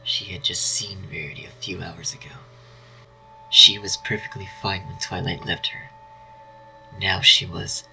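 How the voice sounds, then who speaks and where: A man narrating a scripted dark fan story in a dramatic storytelling style. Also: low voice, little pitch movement, a little slow, gravelly, a little hiss or hum, poor audio. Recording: faint music behind.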